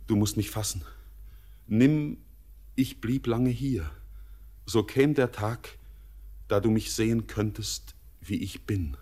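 A haunted young miner. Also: male voice, lowish voice. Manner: brooding, hushed, visionary. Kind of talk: classic radio play acting.

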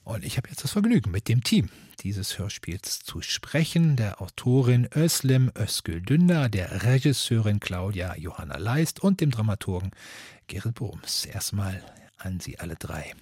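A man's voice: lowish voice, big pitch swings, normal speed, darkish tone, slightly rough, no echo, clean studio sound. A man hosting and interviewing in a formal, prepared manner. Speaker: host, interviewer.

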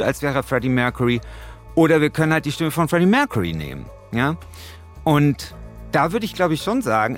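A man co-hosting a podcast, talking in a colloquial, argumentative way. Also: medium pitch. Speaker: podcast co-host and radio play author. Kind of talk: casual debate and informal narration.

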